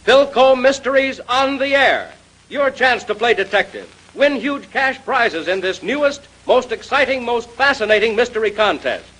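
A man's voice: extremely high pitch, expressive, normal speed, a bit bright, low-quality recording. A man with an American accent announcing and narrating in 1930s radio style.

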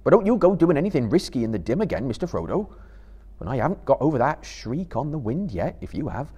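Hobbit gardener, played by a male reader: rustic, plain-spoken, loyal, wary, animated husky voice for fantasy storytelling. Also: medium pitch, quick pace, pitched above the performer's own voice. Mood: worried. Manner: rustic, chatty phrasing.

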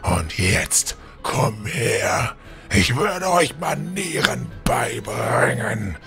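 A monstrous old lord of a fantasy audio drama. The voice is gravelly and cutting, and slow in its delivery. He sneers theatrically, full of menace. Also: medium pitch, big pitch swings, bright, forceful tone, soft background music, full character voice. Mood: menacing gloating. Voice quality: gravelly, creaky. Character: old villainous burgrave swollen into a demonic troll. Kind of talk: fan audio drama acting.

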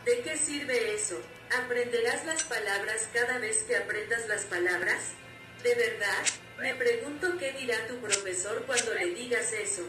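A higher-pitched woman talks through a wry, opinionated commentary. She sounds set back from the mic in a roomy space. Loud music plays behind her voice the whole time. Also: unhurried, recorded from a distance. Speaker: language learning vlogger. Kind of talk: scripted voice-over commentary.

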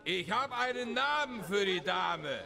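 A man's voice: high-pitched, animated, measured pace, vocal fry, full character voice. An actor playing a rowdy, boisterous bandit henchman declaiming in a video game. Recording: long hall reverb, noisy crowd behind.

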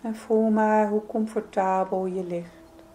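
A woman leading a guided yoga nidra meditation in a soothing, gentle, unhurried manner. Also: mid-range voice, steady tone, a little hiss or hum. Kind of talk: guided meditation, calm spoken instruction.

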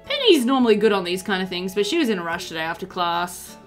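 A woman's voice: mid-range voice, very animated, fast, soft background music, pitched below the performer's own voice, natural voice. A woman reading aloud a polite, formal male librarian with a cowboy air from a video game. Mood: courteous. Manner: formal, courteous cowboy phrasing.

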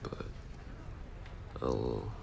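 A man's voice: very slow, darkish tone, slight background noise. A man with a nasal, congested voice from being sick, rambling casually and offhandedly as a channel creator. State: sick with a cold.

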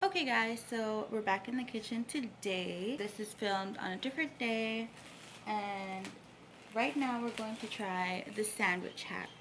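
A woman in her thirties chatting casually through a home cooking and hacks vlog, upbeat and relaxed. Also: medium pitch, measured pace, loud music behind the voice, rough recording. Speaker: lifestyle vlogger. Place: home bedroom and kitchen.

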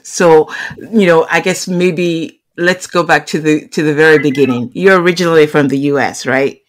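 A woman podcast host chatting casually and effusively with a guest, quick to react. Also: low voice, fairly even intonation. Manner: effusive, reacts with interjections and laughter.

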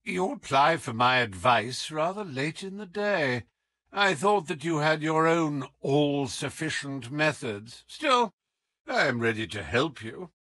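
A man acts out a haughty old lord in a classic storybook. He is stiff and disdainful, with an impatient sneer and an animated rise and fall. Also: fairly high pitch, unhurried, no echo, voice pushed up, full character voice.

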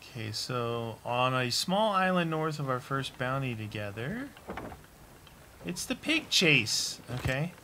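A man's voice: mid-range voice, sing-song, takes it slowly, bright, forceful tone, slight background noise. A man chatting casually and playfully with a co-player during a video game. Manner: joking, playful. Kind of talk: casual gameplay banter with a co-player. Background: video game ambience.

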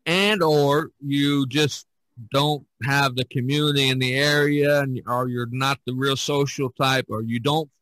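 A man hosting a podcast in casual conversation, talking in a folksy, rambling, halting way. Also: medium pitch, steady tone, a bit bright, no echo, low-quality recording. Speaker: podcast host.

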